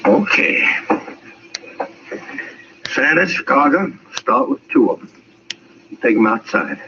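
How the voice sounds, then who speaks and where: An actor playing a hot-tempered, menacing outlaw leader bent on revenge in an old western radio drama. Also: male voice, mid-range voice, big pitch swings, slow, pushed and bright, heavily acted. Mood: furious threats. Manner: menacing, belligerent. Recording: dull lo-fi old radio recording, faint noise.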